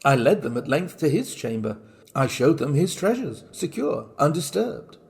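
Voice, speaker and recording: Theatrical mad murderer, acted by a man, creaky voice. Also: fairly high pitch, sing-song, a little mellow. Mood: confident, sly. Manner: theatrical, deliberate, intense, broken into short phrases. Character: mad, guilt-racked murderer boasting of his cunning. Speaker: reader of a classic horror story. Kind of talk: dramatic first-person storytelling.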